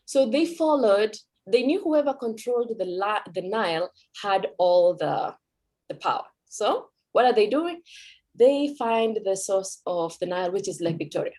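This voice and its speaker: A woman's voice: mid-range voice, animated, unhurried, dark tone. A Kenyan woman whose first language is Kikuyu, giving a conversational talk at a cultural event as a Swahili teacher, with fillers and self-corrections.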